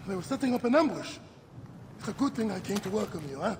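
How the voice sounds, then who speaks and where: An actor playing a hearty, loyal warrior companion in a game cutscene, expressive, familiar and gravelly. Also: male voice, high-pitched, quick pace. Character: hearty, loyal male warrior friend, welcoming and teasing. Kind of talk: video game cutscene acting. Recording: long reverb, clearly noisy background.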